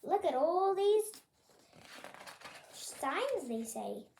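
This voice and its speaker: A storyteller reading a picture book aloud for children, expressive and pointing things out to young listeners. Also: very high voice, very slow, vocal fry, echoey room, a little hiss or hum. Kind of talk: animated picture-book read-aloud for kids.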